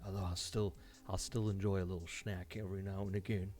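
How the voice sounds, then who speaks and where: A man streaming live, chatting casually to viewers and telling stories in an animated way, acting out his reactions. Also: low-pitched, fairly quick. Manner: animated storytelling, mimics his own reactions; laughs easily while talking. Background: background music.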